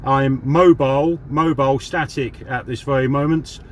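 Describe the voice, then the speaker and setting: Male CB hobbyist from southern England, casual and rambling, medium pitch, faint noise behind. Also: steady tone, normal speed. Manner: chatty, rambling, radio-operator jargon. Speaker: CB radio hobbyist and video host. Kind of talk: casual radio chat and how-to demo.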